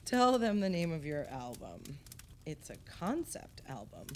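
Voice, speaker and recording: An actress playing a writer's long-suffering wife in an audio play, wry, teasing and exasperated in a naturalistic conversational way. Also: female voice, deep voice, sing-song, measured pace, slight background noise.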